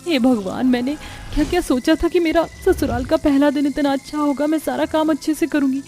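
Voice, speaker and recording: A woman's voice: sits high, fairly quick, dark tone, soft background music, voice pushed up, lightly acted. A woman voicing a meek, tearful young daughter-in-law in a story, earnest, deferential and plaintive. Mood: tearful lament.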